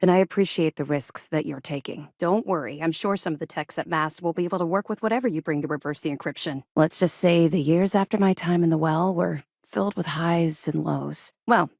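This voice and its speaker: A woman's voice: sits low, a little fast, creaky, few pauses, darkish tone, dry recording. A woman voicing a stern, seasoned space-navy officer and reformed ex-pirate in a video game, with a clipped, authoritative, dry delivery. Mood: dry, guarded. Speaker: second-in-command military officer in a sci-fi game.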